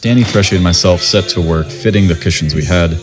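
A man with a very deep voice calmly explains boat repairs in a scripted voice-over. Music plays clearly behind him throughout. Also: brisk. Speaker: sailing vlog narrator.

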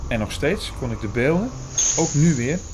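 A man playing an earnest first-person narrator in an audio drama, speaking in a measured, formal, bookish way. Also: mid-range voice, expressive, background noise, close to an everyday voice. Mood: troubled, reflective. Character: Hugo, earnest male first-person narrator reading out prophecy.

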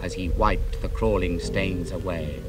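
A man giving a dramatic audiobook reading of epic poetry, theatrical, moving between hushed lines and ringing ones. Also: deep voice, measured pace, over music. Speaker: audiobook reader.

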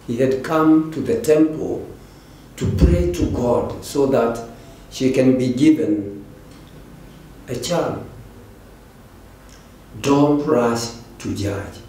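An older man with a Kenyan accent, a pastor preaching a sermon slowly and deliberately into a pulpit microphone in a large church. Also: a little high, dark tone, a little hiss or hum. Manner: slow, deliberate preaching with repeated phrases. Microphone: stand mic at the pulpit. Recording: very echoey church hall.

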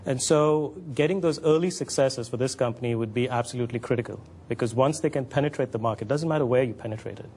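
A man in his forties speaking as an academic guest on a studio panel, explaining in a measured way and repeating points for emphasis. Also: mid-range voice, a little mellow. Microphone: lapel. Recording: clear background noise.

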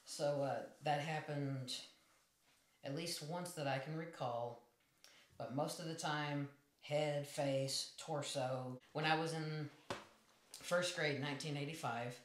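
A man in his forties with a high voice, calmly telling his life story as an interview guest in a small room. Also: slow, slight background noise. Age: 40s. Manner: matter-of-fact, plain storytelling. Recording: echoey room.

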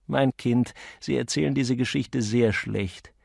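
A man's voice: mid-range voice, measured pace, creaky, darkish tone, no echo, pitched below the performer's own voice. A man voicing a cool, enigmatic man who teases in a dry, condescending way, as an audiobook character. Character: cool, mocking, enigmatic man toying with his listener.

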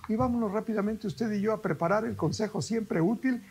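A man's voice: high-pitched, fairly quick, a little mellow, breathy edge. A middle-aged man, hosting a TV cooking show in a folksy way and talking to viewers as family. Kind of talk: TV cooking demonstration. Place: TV kitchen studio set.

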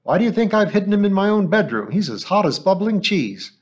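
A man's voice: big pitch swings, a little fast, muffled recording. A male audiobook narrator voicing a shrewd, anxious old woman shopkeeper who complains and dodges questions. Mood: alarmed. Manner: shrill, complaining, evasive.

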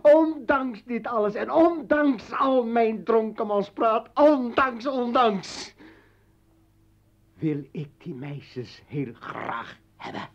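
A man's voice: very high voice, sing-song, slow pace, full character voice. An actor in a radio play as an embittered, rambling revolutionary and desperate father, speaking rhetorically and swinging between brooding and outburst. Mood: impassioned. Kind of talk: dramatic radio play acting. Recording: dull lo-fi old radio recording.

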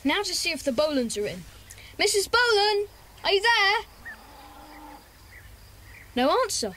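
A woman's voice: very high voice, very animated, measured pace, pushed and bright, a little hiss or hum, exaggerated voice. A woman playing an earnest, bossy young schoolboy gang leader in a children's audio drama. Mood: purposeful.

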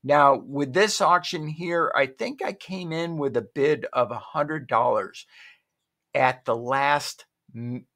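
A man's voice: medium pitch, takes it slowly, fairly bright tone. A middle-aged man casually walking viewers through an online auction site at his home desk, rambling and correcting himself as he goes. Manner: rambling, self-correcting, chatty. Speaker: online shopping vlogger. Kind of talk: casual screen-share walkthrough.

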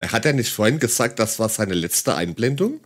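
Sarcastic low-voiced male commentator. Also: very animated, normal speed. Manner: sarcastic, condescending. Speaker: satirical commentator. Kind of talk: mocking video commentary.

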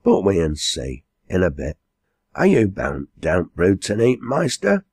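Male reader as hearty quarryman, storybook, Lancashire accent, husky, unhurried, blunt, emphatic. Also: low voice, wildly swooping pitch, a little mellow, no echo, studio quality, full character voice. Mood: blunt, wry. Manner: emphatic dialect talk.

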